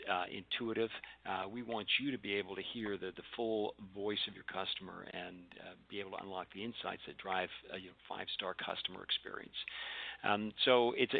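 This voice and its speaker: A man's voice: deep voice, creaky, narrow, tinny audio. A man giving a webinar introduction as a panelist, with many fillers and restarts. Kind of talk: webinar presentation.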